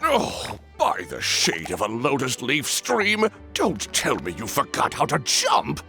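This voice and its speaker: Teasing gravelly paper frog. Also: male voice, mid-range voice, huge pitch swings, slow pace, bright, forceful tone, faint music behind, heavily acted. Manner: playful, teasing.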